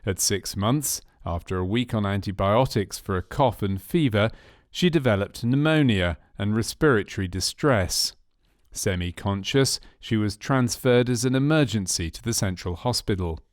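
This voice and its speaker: Low-voiced man narrating a training film. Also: expressive, dry recording, clean studio sound. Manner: measured, factual delivery of clinical case history. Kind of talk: scripted documentary narration.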